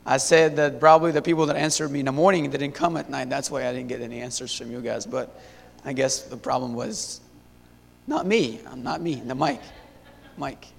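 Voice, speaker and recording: A young man in his twenties from Syria, giving a halting church testimony full of fillers and restarts into a handheld mic. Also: gravelly. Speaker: missionary. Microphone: handheld wireless mic. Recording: echoey hall, faint rumble.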